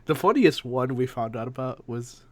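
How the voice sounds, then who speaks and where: A man's voice: medium pitch, expressive, moderate pace, darkish tone. A man co-hosting a podcast, telling stories casually with swearing and insistent repetition. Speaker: podcast co-host. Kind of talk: casual storytelling and banter. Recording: faint background noise.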